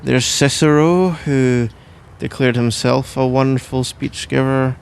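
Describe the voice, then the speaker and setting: A man's voice: mid-range voice, fairly even intonation, a little slow, vocal fry, slight background noise. A man playing a sleepy, cuddly husband in an intimate ASMR roleplay, drowsy, affectionate and rambling, with playful melodrama. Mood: musing, rambling.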